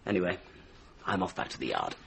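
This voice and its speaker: An actor playing a self-satisfied English police inspector in a radio mystery, brisk and condescending in manner. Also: male voice, deep voice, huge pitch swings, gravelly, slight background noise, full character voice. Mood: smug. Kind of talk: radio mystery drama acting.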